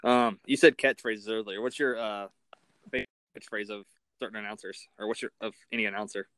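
Clear-voiced man doing a casual interview. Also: on the low side, fairly even intonation, a little fast, a bit bright, no room sound, poor audio. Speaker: interviewer, sports fan.

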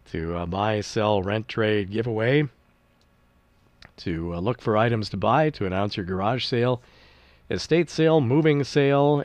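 A middle-aged man hosting a local radio call-in show in a studio, hoarse and raspy from congested sinuses, talking in an easygoing, chatty way. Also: lowish voice, darkish tone, no room sound. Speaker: radio host. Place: small-town radio studio. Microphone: studio microphone.

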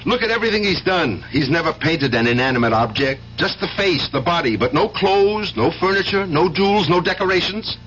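An obsessed American police detective pushes and argues his case in a seventies radio play. His pitch moves animatedly, and the old recording is dull with a faint hiss. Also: animated, fairly quick, few pauses, fairly bright tone. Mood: insistent, accusing. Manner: pressing, argumentative. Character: obsessed, insistent police detective, doubted by everyone. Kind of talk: 1970s radio drama acting.

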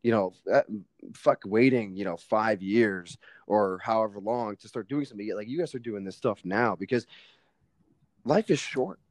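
A man's voice: lowish voice, moderate pace, no echo. Emphatic young man, casual podcast chat. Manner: emphatic, swears casually, heavy fillers. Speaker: podcast guest.

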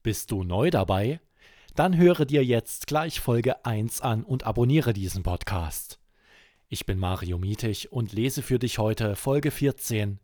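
A man hosting a children's podcast, narrating a scripted bedtime story in an expressive, deliberate way. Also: mid-range voice, no room sound, clean studio sound.

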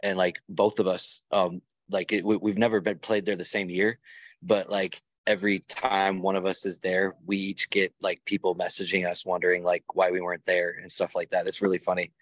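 A man from Chicago, a musician playing a quiz game, chatting in a rambling, halting way with lots of fillers over a video call. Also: deep voice, level tone, no room sound. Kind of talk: casual chat.

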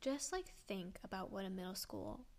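A woman's voice: medium pitch, lively intonation, moderate pace. A young woman talking casually to camera with a stuffy, congested nose, rambling and filling her talk with "like". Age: young adult. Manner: rambling, full of "like", laughs often. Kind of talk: casual vlog chat.

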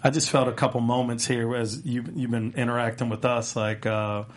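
A co-host talking through a show's plot in a measured, thoughtful way. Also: medium pitch, level tone. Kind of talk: casual discussion.

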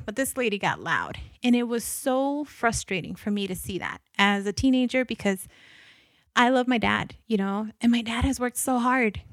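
A woman's voice: fairly high pitch, a bit bright, no room sound, studio quality. Earnest woman with a faint Guatemalan accent. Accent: slight Guatemalan Spanish. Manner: earnest, reflective, mixes in Spanish phrases. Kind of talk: personal monologue.

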